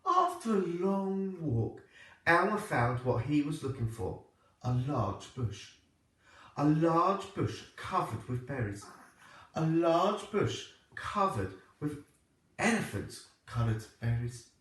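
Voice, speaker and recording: A man reading a children's storybook aloud like a primary teacher, with expressive, deliberate phrasing. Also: fairly high pitch. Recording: echoey room, distant microphone.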